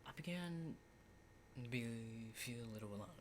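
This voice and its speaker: A man playing an unsettling, lonely, hardened apocalypse survivor in an ASMR roleplay, speaking in a halting, breathy whisper and soft voice. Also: medium pitch, expressive, slight background noise, lightly acted. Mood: haunted, storytelling. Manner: hushed, halting, repeats words, mild swearing.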